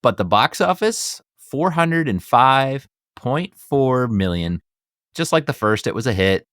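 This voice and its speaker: A man's voice: medium pitch, big pitch swings, a little slow, fairly bright tone, no room sound, studio quality. A middle-aged man with an American accent hosting a casual podcast, chatty, upbeat and enthusiastic. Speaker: podcast host.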